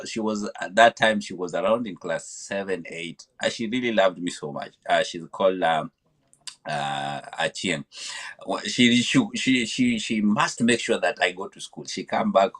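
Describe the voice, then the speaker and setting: A man from Kenya answers interview questions in a very low, deep voice. He speaks hesitantly, with frequent fillers and repeated words as he gathers his thoughts. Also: fairly bright tone. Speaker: podcast guest.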